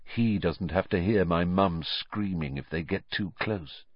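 A man's voice: low-pitched, a little slow, no echo, lo-fi sound. A man voicing a brooding, haunted teenage boy who speaks tightly and intensely.

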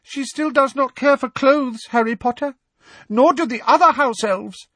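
A man voicing a small, eager male house elf with a squeaky, high, deferential voice. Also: moderate pace, pushed and bright, dry recording, heavily acted.

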